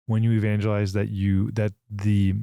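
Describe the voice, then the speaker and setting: Low, mellow male interviewer. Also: flat delivery, normal speed, clear, resonant tone, no echo, studio quality. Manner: brief affirming backchannels, stumbling questions.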